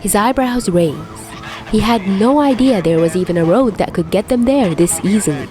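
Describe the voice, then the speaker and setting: A woman reading fan fiction aloud as an amateur narrator, in an expressive, dramatic storytelling style. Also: medium pitch, moderate pace.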